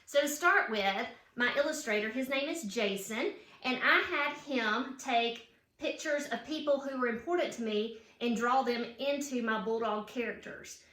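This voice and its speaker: A woman's voice: a little high, normal speed, a bit bright. A woman reads a children's picture book aloud with chatty asides, putting questions and emphatic cheers to young listeners. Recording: roomy, echoing room sound.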